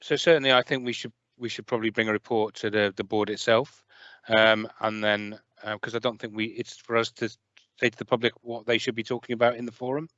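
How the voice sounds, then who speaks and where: Low-voiced man answering on a video call. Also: flat delivery, fairly quick, a bit bright, no echo. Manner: hesitant, many fillers and restarts. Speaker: health service manager. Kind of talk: answering questions in an online meeting. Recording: muffled, dry video call audio.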